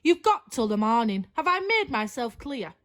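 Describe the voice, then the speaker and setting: A woman's voice: fairly high pitch, big pitch swings, normal speed. A woman voicing a short-tempered, bossy storybook witch, screechy and snappy as she gives orders. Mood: angry. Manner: snappy, scolding, commanding. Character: bossy, short-tempered witch who craves scary things.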